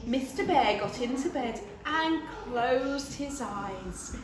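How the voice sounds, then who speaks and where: A woman reads a children's story in a high voice. Her delivery is expressive and unhurried, rising and falling widely. The room sounds echoey. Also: background noise. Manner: deliberate, animated storytelling. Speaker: story reader.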